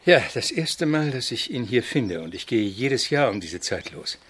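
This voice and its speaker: An actor playing a polite, exacting avant-garde composer in a radio drama, speaking in a measured, questioning, instructive manner with a husky voice. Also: male voice, mid-range voice, very animated, fairly bright tone. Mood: absorbed, friendly. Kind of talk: German radio drama acting.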